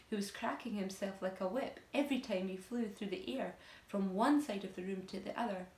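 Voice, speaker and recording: Woman reading a story, echoey room. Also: mid-range voice. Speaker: teacher reading aloud. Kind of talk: expressive children's story narration.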